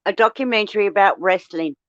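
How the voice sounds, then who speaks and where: A woman's voice: medium pitch, quick pace, no room sound, highs cut off. A woman from Australia with an Australian accent, chatting casually, blunt and swearing freely as she tells stories. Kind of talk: casual chat.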